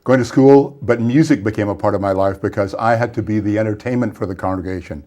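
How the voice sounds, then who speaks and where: A Scottish-born man in his sixties, a guest author and songwriter telling wry, brisk anecdotes in a studio interview on a lapel mic. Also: fairly low pitch, dark tone. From Scotland. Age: 60s. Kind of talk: casual interview storytelling. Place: small TV studio set.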